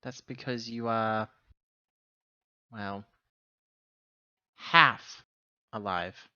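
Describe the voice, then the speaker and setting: Man, forceful bright timbre, hesitant pausing delivery, improvised tabletop roleplay, dry muffled recording. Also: lowish voice, steady tone, clear, resonant tone, dry recording. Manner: halting, hesitant phrasing with pauses. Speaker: tabletop roleplaying game player. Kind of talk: improvised in-character roleplay talk.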